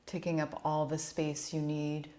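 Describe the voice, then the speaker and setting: A woman with a low-pitched voice leads a guided relaxation practice. She speaks as a yoga nidra instructor, giving gentle, unhurried instructions in a soothing manner. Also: monotone.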